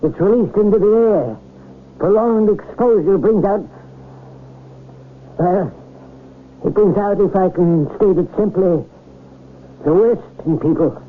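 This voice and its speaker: An actor playing an earnest, alarmed former research chief giving insistent, ominous warnings in an old-time radio drama. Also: male voice, high-pitched, measured pace, long pauses. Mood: ominous, agitated. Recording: muffled old radio broadcast recording.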